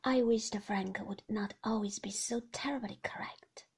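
A woman reading a novel aloud in first person, in a soft, hushed way. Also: medium pitch, fairly even intonation, a little slow, slightly rough. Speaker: audiobook reader.

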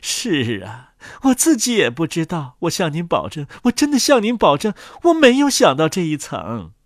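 A man's voice: on the high side, huge pitch swings, moderate pace, no echo, studio quality. A man voicing a boastful, haughty old inkwell in a theatrical storybook reading, pompous and full of self-admiration. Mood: self-satisfied wonder.

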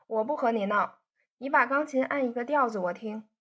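A woman reading a storybook voices a spoiled young rich man who teases his sweetheart with easy banter. The tone sits fairly high with a husky, breathy edge. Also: brisk, fairly bright tone. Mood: teasing. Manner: bantering, coaxing. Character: teasing young man, playful rich son.

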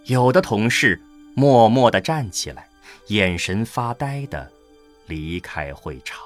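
A man's voice: fairly low pitch, very animated, slow pace, soft background music. A man narrating a scripted science-fiction radio drama.

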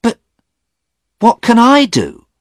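A man's voice: very high voice, huge pitch swings, slow pace, no echo, clean studio sound, voice pushed up, heavily acted. A man reading aloud voices a defensive young man who hesitates and dodges questions.

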